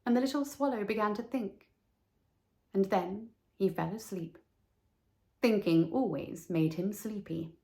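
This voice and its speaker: A woman reading a children's story as narrator, measured and expressive. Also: medium pitch.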